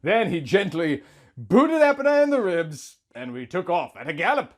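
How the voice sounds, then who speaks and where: Theatrical man reading with swooping, lively pitch. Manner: mock-earnest, melodramatic, with drawn-out emphasis. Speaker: host of a comedy reading series. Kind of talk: theatrical dramatic reading of fan fiction.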